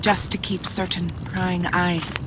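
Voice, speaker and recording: A woman's voice: on the low side, little pitch movement, slow pace. A woman playing a warlord's devoted, shrewd consort in an audio drama. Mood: devoted, conspiratorial. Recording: noticeable background noise.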